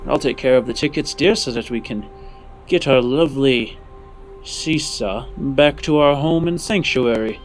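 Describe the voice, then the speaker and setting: A scheming fake husband played by a man talks slowly and with measured, sugary affection. It is tabletop roleplay with soft music underneath. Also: medium pitch, lightly acted. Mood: falsely cheerful.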